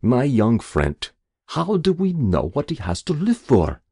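A man voicing a grave senior doctor with guttural speech and a measured, condescending manner in a narrated story. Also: medium pitch, huge pitch swings, warm tone, slightly rough, dry recording, clean studio sound, pitched above the performer's own voice, full character voice. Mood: solemn.

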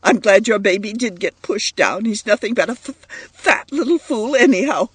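A young mother breaking down in hurt indignation. Her voice leaps very high and swings widely, sobbing and stammering as she wails out a storybook quarrel. The tone is husky and closely recorded. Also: animated, normal speed, no room sound. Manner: stammers through sobs, broken and wailing.

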